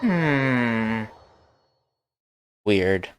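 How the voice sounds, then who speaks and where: A man's voice: mid-range voice, animated, extremely slow, a little hiss or hum. A man giving casual livestream game commentary in brief, musing asides.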